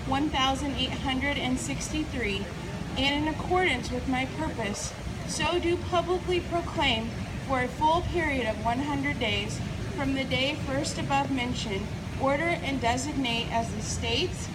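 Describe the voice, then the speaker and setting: A woman giving a formal read-aloud of an official proclamation. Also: measured pace. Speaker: reader at a celebration. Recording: very noisy recording, loud ambient rumble.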